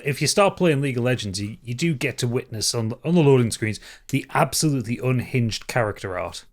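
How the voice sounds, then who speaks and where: A man's voice: medium pitch, expressive, a little fast. A man on a podcast panel chatting casually, tripping over repeated words and swearing now and then. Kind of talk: casual chat.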